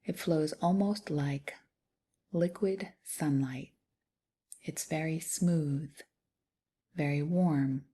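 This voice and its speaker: A woman leading a guided meditation in a soft, soothing, unhurried way with long pauses. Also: low-pitched, husky. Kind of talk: guided body scan meditation.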